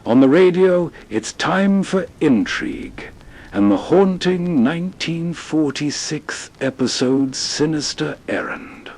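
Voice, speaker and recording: A man's voice: fairly high pitch, expressive, slow, a little mellow. A man hosting with scripted, scene-setting narration. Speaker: narrator host.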